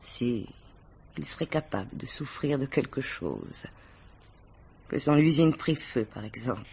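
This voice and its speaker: An actress playing a worldly, composed middle-aged married mistress questioned by police in a French radio mystery, with measured, formal diction. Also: female voice, extremely low pitch, lively intonation, dark tone, breathy edge, heavily acted. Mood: bitter irony.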